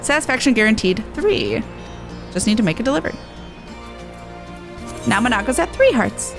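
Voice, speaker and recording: Higher-voiced woman streaming a game. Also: very animated, moderate pace, vocal fry, over music. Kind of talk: casual playthrough commentary.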